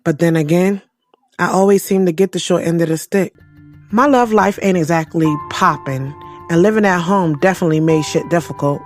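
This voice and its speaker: A woman's voice: lowish voice, normal speed, faint music behind, natural voice. A woman playing a frank, sassy young single woman in an audio drama, conversational, slangy and wry. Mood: wry, exasperated. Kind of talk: first-person audio drama narration and dialogue.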